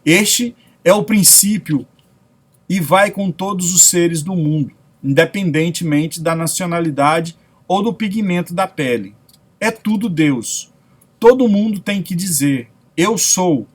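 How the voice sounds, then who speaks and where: A man's voice: sits high, slow, slightly rough. A man with a Brazilian accent reading a spiritual self-help lecture aloud as a narrator.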